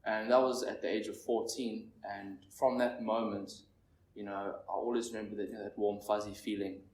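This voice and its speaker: A nervous young man in his twenties from Zimbabwe, sharing his recovery story at a meeting in a halting, rambling way. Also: fairly low pitch, level tone, a little mellow. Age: 20s. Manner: halting, rambling, heavy on fillers. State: nervous, shaky. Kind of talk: personal recovery share. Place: meeting room with an audience. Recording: echoey room, distant mic, faint noise.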